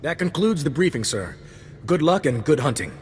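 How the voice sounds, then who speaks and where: A dutiful military operative in a video game, formal and deferential. His voice sits fairly high and carries hall reverb over a faint rumble. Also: expressive, normal speed, a little mellow. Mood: businesslike. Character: dutiful, earnest male military special forces operative. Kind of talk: video game cutscene acting.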